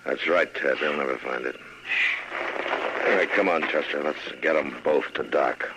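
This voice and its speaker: An actor playing a calm, authoritative American frontier marshal in an old radio western, terse and steady. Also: male voice, extremely low pitch, moderate pace, vocal fry, dull audio. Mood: reassuring. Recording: very noisy, crackly old radio broadcast.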